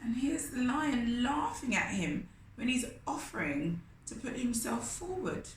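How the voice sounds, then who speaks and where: A woman's voice: fairly high pitch, expressive, unhurried. A woman reading a picture book aloud to children, expressively, with asides and questions to her young listeners. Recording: roomy echo, faint background noise.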